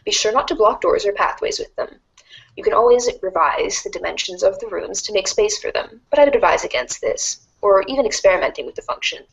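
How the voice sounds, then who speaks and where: A woman's voice: mid-range voice, expressive, fairly quick, gravelly, slightly rough, poor audio. A woman playing a wry, erudite teenage girl who reads out a game guide in a formal, precise, dryly instructive way, as storybook narration. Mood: measured, instructive. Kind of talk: scripted read-along storybook narration.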